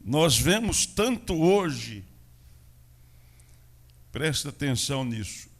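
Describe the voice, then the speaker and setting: A Brazilian man, a pastor preaching a sermon in a church with a São Paulo interior accent, moving between loud proclamation and quiet asides. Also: on the high side, very animated, slow pace. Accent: Brazilian Portuguese, São Paulo interior.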